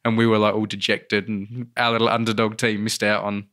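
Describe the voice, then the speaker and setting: A man's voice: fairly low pitch, level tone, fairly quick, a bit bright. An Australian man chatting casually as a podcast co-host, an enthusiastic storyteller. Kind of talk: casual anecdotal podcast chat.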